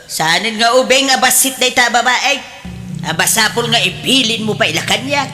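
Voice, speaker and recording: A woman's voice: on the high side, normal speed, over music, lightly acted. A woman playing a domineering, nagging middle-aged mother of a grown son in an audio drama, with an Ilocano accent. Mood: nagging, angry. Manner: nagging, sharp-tongued, indignant. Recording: long reverb, faint background noise.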